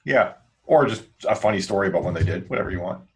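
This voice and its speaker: A man's voice: low-pitched, expressive, fast talker, darkish tone. A man chatting casually as he moderates a podcast panel.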